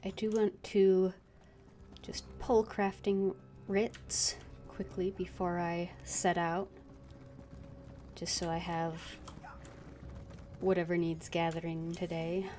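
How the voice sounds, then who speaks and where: A woman giving casual, unscripted commentary as she streams a video game, hesitant and thinking aloud with long pauses. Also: on the low side, little pitch movement, warm tone, slight background noise.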